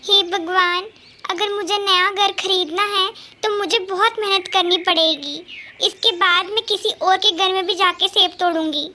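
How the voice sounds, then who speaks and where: A very high, bright cartoon mother bird played by a woman, humble and anxious. She speaks earnestly, as if always pleading her case, over some background noise. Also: fairly even intonation, a little slow, heavily acted. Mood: earnest, hopeful. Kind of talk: Hindi cartoon story dialogue.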